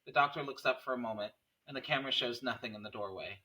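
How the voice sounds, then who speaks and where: A man's voice: medium pitch, fast, bright, forceful tone, poor audio. A man reading screenplay stage directions as narrator at a table read.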